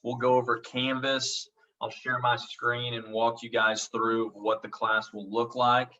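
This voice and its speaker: A man from Oklahoma with a noticeable accent, teaching a college class over a video call. His voice is bright and a little forceful, and he talks in a relaxed, reassuring way with lots of ums. The audio is dull and lo-fi, as if he sits back from the mic. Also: medium pitch, unhurried, recorded from a distance. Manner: reassuring, frequent ums, checks in with "okay?". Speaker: college instructor. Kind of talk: casual online class introduction.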